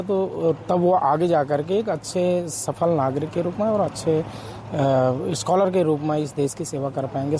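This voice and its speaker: A man's voice: fairly high pitch, mellow, slight background noise. A man explaining a program as an official in a recorded interview statement.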